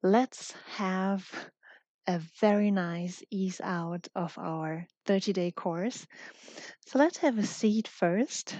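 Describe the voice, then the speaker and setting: A woman leading a guided yoga class as the teacher, calm and gentle, speaking in short instructions with long pauses. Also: medium pitch, no room sound, dull audio.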